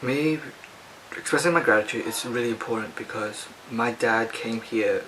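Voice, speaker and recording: A young man with an Australian accent talking casually and reflectively to his vlog audience in a hesitant, halting way. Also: medium pitch, sharp tone, a little hiss or hum, rough recording. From Australia (Adelaide). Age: young adult. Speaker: vlogger.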